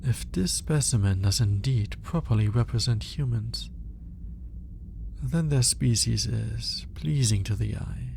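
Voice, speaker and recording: A man playing a clinical, curious alien scientist in a soft, intimate ASMR roleplay, measured but grudgingly fascinated. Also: medium pitch, gravelly, a little hiss or hum. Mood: clinical, intrigued. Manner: clinical, measured, hesitant when flustered.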